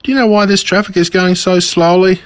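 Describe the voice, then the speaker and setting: A man's voice: high-pitched, steady tone, brisk, smooth, no echo, clean studio sound, voice pushed up, natural voice. A man voicing a blunt, irritable, plain-spoken Australian dad in a storybook, with an exclamatory manner. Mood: polite impatience.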